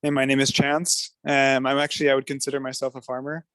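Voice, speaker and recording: Male meeting participant, informal, mid pitch, little variation, halting delivery. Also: completely flat delivery, fairly bright tone, smooth, dry recording. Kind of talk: casual self-introduction.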